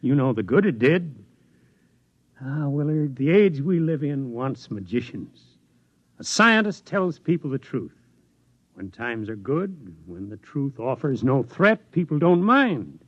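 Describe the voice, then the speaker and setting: A weary older scientist who muses with rueful reflection. His pitch rises and falls in lively sweeps, close and dry. The sound is dull and dated like an old radio broadcast. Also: unhurried, no echo. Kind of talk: 1950s radio drama acting.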